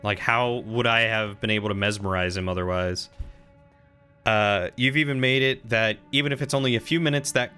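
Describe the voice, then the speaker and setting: A man's voice: lowish voice, fairly even intonation, moderate pace, pushed and bright, smooth, soft background music. A man in his thirties chatting casually with viewers while commenting on the video game he plays. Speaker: game streamer.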